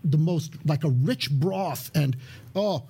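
A man chats on a podcast, eager but halting, with plenty of fillers as he gathers his thoughts. His voice is husky and sits fairly high for a man. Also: warm tone. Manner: halting, enthusiastic rambling with many fillers. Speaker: podcast guest. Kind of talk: casual chat.

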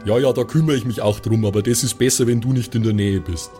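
A man's voice: fairly low pitch, fairly even intonation, fast talker, mellow, soft background music, close to an everyday voice. A man playing a firm, placating friend who urges people along colloquially in an improvised role-play. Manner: colloquial, repetitive urging. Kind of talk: improvised pen-and-paper audio play.

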